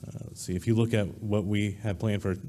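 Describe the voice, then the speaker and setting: A man briefing a town meeting on road projects, pausing often and stopping to recall figures. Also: fairly low pitch, steady tone, dark tone, slight background noise. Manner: hesitant, stops to recall figures. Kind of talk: informal public briefing.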